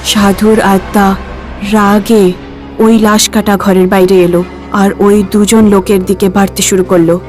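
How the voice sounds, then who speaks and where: A woman's voice: medium pitch, flat delivery, measured pace, a little mellow. A woman giving scripted narration for a cartoon ghost story. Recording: music behind the voice.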